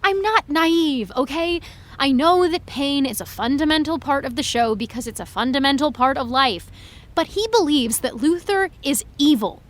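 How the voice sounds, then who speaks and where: A woman's voice: sits high, animated, normal speed. An actress playing an upset, indignant young female fan in an audio drama, emphatic and sarcastic with her friend. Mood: defensive. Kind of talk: naturalistic audio drama acting.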